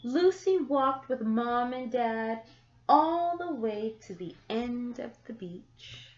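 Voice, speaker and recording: A woman reading a children's picture book aloud, with deliberate, expressive phrasing and drawn-out words for young listeners. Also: fairly high pitch, soft background music. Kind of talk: children's story read-aloud. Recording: echoey room, slightly muffled sound.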